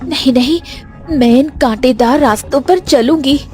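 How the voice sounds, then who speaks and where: A woman's voice: high-pitched, moderate pace, warm tone, faint music behind, lightly acted. A woman voicing a brave, determined and earnest young village girl in a cartoon story. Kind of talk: animated village fairy tale acting.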